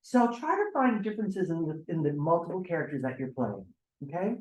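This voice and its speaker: A man's voice: fairly high pitch, sing-song, fairly quick, darkish tone. A man giving informal instructions to a cast as their director. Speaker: director briefing actors. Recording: echoey room.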